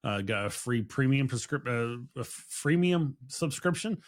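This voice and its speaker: A man's voice: medium pitch, animated, normal speed. A man hosting a podcast in casual interview chat, effusive and rambling, often repeating himself. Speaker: podcast host.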